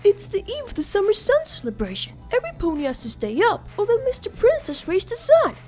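A woman's voice: very high-pitched, wildly swooping pitch, fairly quick, a little mellow, soft background music, exaggerated voice. A woman voicing a cheeky, chatty little boy dragon in a cartoon fandub, pert and childlike. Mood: chirpy, urging.

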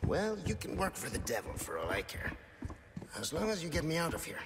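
An actor playing a desperate, roguish researcher in a video game, with a Spanish accent and a theatrical, wry delivery. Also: male voice, fairly high pitch, wildly swooping pitch, fairly quick, background noise. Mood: wry, brisk. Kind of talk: video game cutscene acting. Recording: long hall reverb, loud game music.

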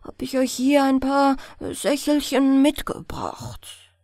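Storybook woodcutter played by a woman: poor, henpecked man, hesitant and slow, with swooping intonation. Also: high-pitched, animated, a little mellow, dry recording, clean studio sound, pitched above the performer's own voice, heavily acted. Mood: flustered, sheepish. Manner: hesitant, stammering.

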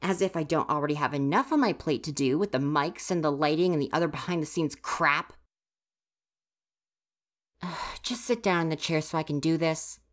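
A grumpy young stagehand of university age, played by a woman, speaks in a low-pitched voice. The delivery is huffy, exasperated and easily flustered, full of sighing reproach. It is ASMR roleplay, addressed directly to the listener. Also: moderate pace, lightly acted.

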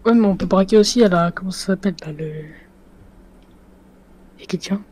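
A woman's voice: medium pitch, moderate pace, warm tone. A woman chatting casually while playing an online game. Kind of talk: casual gaming chat.

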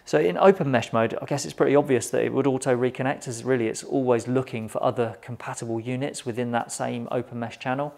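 British man, middle-aged, creaky gravelly voice, medium pitch, matter-of-fact product reviewer, semi-scripted, lapel mic. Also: darkish tone. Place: small indoor shop studio.

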